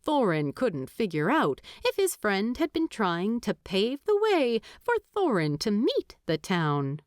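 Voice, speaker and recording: Very animated, unhurried woman narrator. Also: medium pitch, no echo, studio quality. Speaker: audiobook narrator. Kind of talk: scripted story narration.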